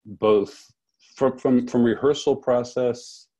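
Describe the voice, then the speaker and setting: A man interviewing in a conversational, thoughtful and halting way, often repeating words as he forms a question. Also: mid-range voice, steady tone, warm tone, breathy edge, dull audio. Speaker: interviewer, festival co-director.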